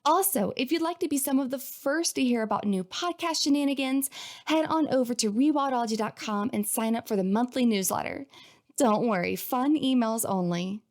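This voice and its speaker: Higher-pitched woman hosting a podcast. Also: brisk. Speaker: podcast host. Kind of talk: scripted podcast introduction.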